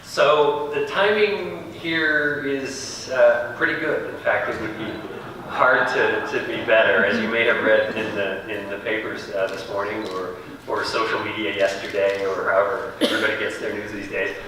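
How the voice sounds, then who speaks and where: A man's voice: lowish voice, fairly even intonation, moderate pace, a little hiss or hum. A man giving a conversational public lecture with a big, projecting voice. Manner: conversational, loud, projects his voice. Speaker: guest speaker. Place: small event room. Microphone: handheld mic held away, then off. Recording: echoey room from far off.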